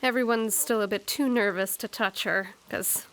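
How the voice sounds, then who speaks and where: A woman's voice: mid-range voice, steady tone, moderate pace, fairly bright tone, close to an everyday voice, rough recording. A woman narrating an audio drama, scripted storytelling broken by informal asides.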